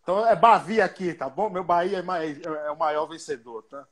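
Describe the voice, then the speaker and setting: A man's voice: a little high, moderate pace. A man hosting an interview, chatty and jokey, with short affirmations. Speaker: interviewer host. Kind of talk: casual interview conversation.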